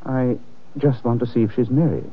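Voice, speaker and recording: An actor playing a suave, wry, unflappable gentleman sleuth with dry, polished delivery in an old-time radio detective drama. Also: medium pitch, steady tone, a little fast, close to an everyday voice. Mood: teasing. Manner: dry, teasing, polished interrogation. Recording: tinny, band-limited old radio recording.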